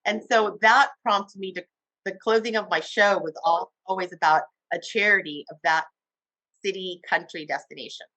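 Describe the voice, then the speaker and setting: A woman from Cuba, a television host, telling her story in an interview with an enthusiastic, animated manner. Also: mid-range voice, normal speed, no echo, poor audio. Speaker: TV host guest. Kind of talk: interview conversation.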